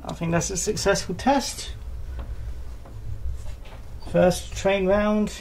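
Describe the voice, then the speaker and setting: A man with a croaky voice from a sore throat, chatting casually and self-deprecatingly with many ums while he works in a small room. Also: high voice, fairly even intonation, slow pace, a little mellow. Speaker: model railway hobbyist vlogger. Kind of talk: casual narration while working. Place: indoors in a small room, bedroom layout. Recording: noisy home camera recording.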